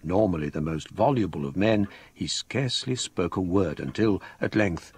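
Grave scholarly narrator, low and expressive. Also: male voice, slightly rough, dry recording, heavily acted. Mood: somber, measured. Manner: measured, literary, precise. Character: grave English scholar recounting a ghostly incident. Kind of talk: radio drama narration, storytelling.

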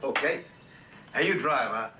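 An actor playing a bitter, disillusioned middle-aged executive husband in a television drama, with a halting, sardonic delivery. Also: male voice, medium pitch, lively intonation, sharp tone, muffled recording, a little hiss or hum. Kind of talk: 1960s television drama acting.

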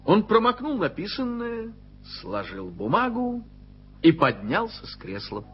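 A man narrating an old radio play in a clear storytelling manner. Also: high-pitched, huge pitch swings, measured pace. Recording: dull old radio recording with music.

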